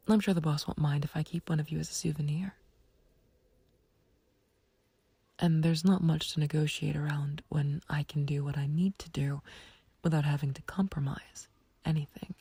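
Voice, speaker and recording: A woman playing a smug, taunting, playfully flirtatious villain in an ASMR roleplay, with a husky, breathy low voice and a teasing, unhurried, condescending manner. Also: mellow, dry recording, full character voice. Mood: menacing, taunting. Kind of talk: ASMR roleplay audio drama.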